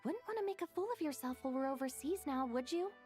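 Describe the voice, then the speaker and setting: A woman's voice: sits high, expressive, quick pace, a little mellow. A woman playing a level-headed, responsible young gang deputy in a video game, dry and matter-of-fact. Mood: exasperated. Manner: matter-of-fact, exasperated, composed. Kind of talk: video game character acting. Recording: game music behind.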